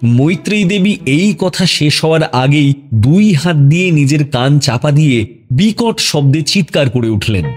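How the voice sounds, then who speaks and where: A man narrating a scripted audio story in an expressive storytelling style. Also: a little high, measured pace, faint music behind.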